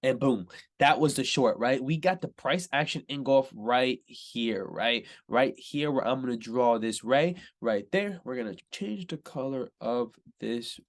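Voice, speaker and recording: A man's voice: mid-range voice, a little slow. Casual young man breaking down a trade. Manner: casual, says "right?" constantly, excitable. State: a little congested. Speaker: forex trading mentor. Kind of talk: screen-share chart breakdown.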